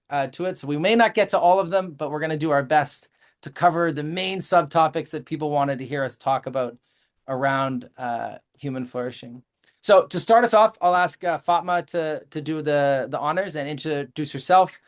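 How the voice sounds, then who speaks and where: A man hosting a virtual roundtable over a video call, talking in a friendly way with many fillers. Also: fairly high pitch. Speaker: roundtable host.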